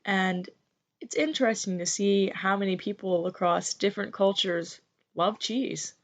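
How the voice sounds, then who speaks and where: A woman's voice: mid-range voice, unhurried. A young American woman hosting a podcast, chatty and enthusiastic in casual talk. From United States. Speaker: podcast host. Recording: dull lo-fi recording.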